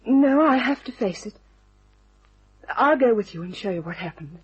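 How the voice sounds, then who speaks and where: An actress playing a frightened, pleading young woman in an old-time radio mystery, breathy and husky, speaking haltingly. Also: fairly high pitch, lively intonation, lo-fi sound. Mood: tense, hesitant.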